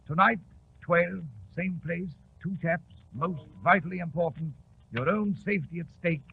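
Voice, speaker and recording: High-pitched earnest sidekick, old radio. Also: male voice, unhurried, vocal fry. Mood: tense, expectant. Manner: earnest, formal, exclamatory. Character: earnest, loyal, proper male sidekick of a detective. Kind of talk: 1940s radio drama acting. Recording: muffled, noisy 1940s radio recording.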